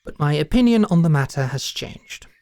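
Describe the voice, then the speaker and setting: A woman acts as a stern lady knight with a low voice in a very dry, close recording. She is proud and brusque, teasing like a demanding sparring mentor in a fantasy audio drama. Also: lively intonation, normal speed, darkish tone, dry recording. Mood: stern, grudging. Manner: commanding, dry, taunting.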